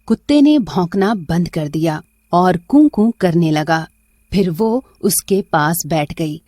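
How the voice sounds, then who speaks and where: A woman's voice: lowish voice, expressive, slow pace, mellow, no room sound, clean studio sound. A woman narrating a scripted story as a storyteller.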